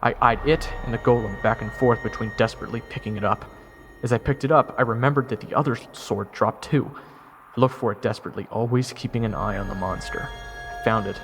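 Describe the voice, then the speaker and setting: Fantasy story narrator: male actor as a wry young adventurer, gravelly, with clear background music. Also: fairly low pitch, a bit bright. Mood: wry, tense. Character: wry, self-deprecating young male soldier recounting his adventure.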